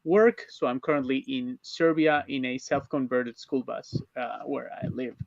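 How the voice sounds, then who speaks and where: A man from Argentina hosts an online panel in a halting way. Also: medium pitch, fairly even intonation, no room sound. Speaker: panel host. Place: converted school bus, video call. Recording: muffled lo-fi online call.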